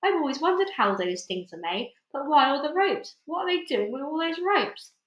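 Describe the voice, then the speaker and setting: Woman voicing a high-pitched, blunt, excitable centipede. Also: normal speed, dull audio. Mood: curious. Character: grumbling, cynical male centipede, blunt and excitable. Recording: echoey room.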